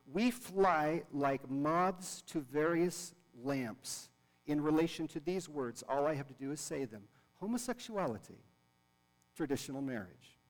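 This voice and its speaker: A man preaching a sermon to a congregation, earnest and repeating words for emphasis. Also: fairly high pitch. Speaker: pastor. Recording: long reverb of a large hall.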